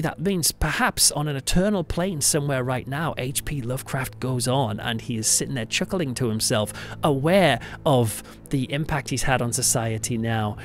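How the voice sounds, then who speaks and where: A British man hosting a podcast, giving a reflective monologue in a conversational, thoughtful and emphatic way. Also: medium pitch. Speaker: podcast host, church pastor. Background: music under the voice.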